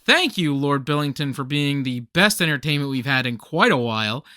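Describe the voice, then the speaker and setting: An actor playing a grateful, earnest party host who talks as if making a speech in a radio play. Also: male voice, on the high side, sing-song, moderate pace, pushed and bright, dry recording, clean studio sound. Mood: grateful, excited.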